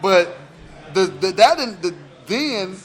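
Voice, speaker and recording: A man's voice: sits high, very animated, takes it slowly, fairly bright tone. An American man co-hosting a sports radio show, talking in casual slang and repeating words and phrases for emphasis.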